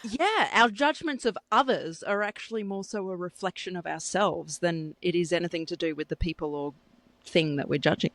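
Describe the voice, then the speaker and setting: A woman's voice: lowish voice, moderate pace, no echo. A woman co-hosting a podcast in casual chat, playful and teasing. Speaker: podcast co-host.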